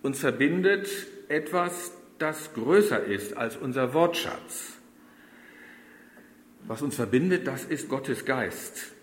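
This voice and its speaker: A man's voice: mid-range voice, expressive, slow, a little hiss or hum. A middle-aged German man preaching a sermon to his congregation, speaking conversationally and turning to them with questions. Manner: conversational, addresses the congregation directly. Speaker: pastor. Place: church. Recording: roomy church with long reverb.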